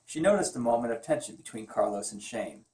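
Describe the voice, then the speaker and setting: A man's voice: mid-range voice, animated, brisk, a little mellow, distant mic. A man giving an amateur read-aloud of a novel, joking and teasing in asides and stumbling over words. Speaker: comic artist reading wife's novel aloud. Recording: roomy echo, away from the mic.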